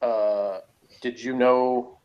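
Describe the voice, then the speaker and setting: Middle-aged male, mid-pitched, for a casual review podcast host who thinks aloud and meanders. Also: little pitch movement, very slow, clean tone, highs cut off. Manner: conversational, rambling, self-qualifying.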